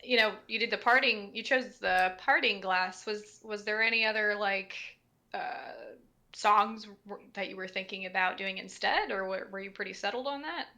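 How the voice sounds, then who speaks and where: A woman with a bright, forward voice at a middle pitch chats informally. She speaks unscripted, as part of a group commentary. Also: moderate pace. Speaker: commentary participant. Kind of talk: casual chat.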